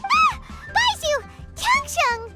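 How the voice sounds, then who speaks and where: A squeaky, chatty little companion. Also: female voice, drawn-out words, full character voice. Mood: excited. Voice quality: high, squeaky, very animated. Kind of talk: game voice acting. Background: game music.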